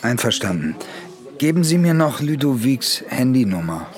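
A man's voice: medium pitch, big pitch swings, normal speed, natural voice. A man playing a curt investigator questioning an informant in a German radio drama. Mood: guarded.

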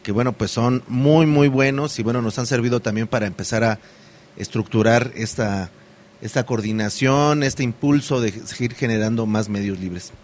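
A man's voice: mid-range voice, moderate pace, slight background noise. A man hosting a community radio program, talking in a loose, hesitant way with many fillers and restarts.

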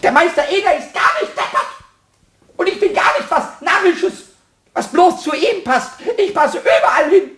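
An actor playing a small, childlike male kobold in a German radio play, cheeky, stubborn and excitable with a squeaky voice. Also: moderate pace, exaggerated voice. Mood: hurt, defiant. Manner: excitable, self-repeating, sing-song when rhyming.

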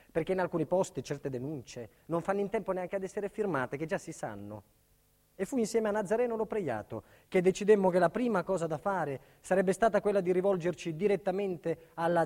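A man's voice: on the high side, darkish tone, natural voice. An actor playing an earnest, embattled businessman telling his life in a theatrical monologue, with emphatic, dramatic phrasing. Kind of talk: theatrical first-person monologue. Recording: reverberant theatre hall recording.

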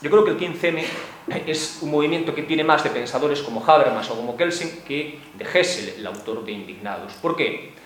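A man giving a prepared talk on a panel, measured and argumentative, sometimes stumbling over repeated words. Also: fairly high pitch, lively intonation, a little hiss or hum. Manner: measured and argumentative, with stammered repetitions. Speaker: panel speaker, activist. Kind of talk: prepared talk at a round table. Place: cultural centre lecture room. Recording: echoey room with faint ambience.

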